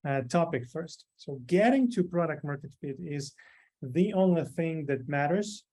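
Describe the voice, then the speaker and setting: A man from Ukraine presents at a conference with a somewhat high voice. He often stops on fillers and restarts his phrases. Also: expressive, moderate pace, dark tone. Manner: hesitant, many fillers. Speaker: conference speaker. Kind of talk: presentation talk.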